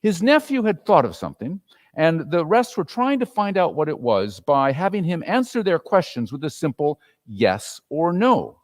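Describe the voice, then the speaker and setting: A man giving an expressive, theatrical dramatic reading of a story as narrator. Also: a little high. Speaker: dramatic reader.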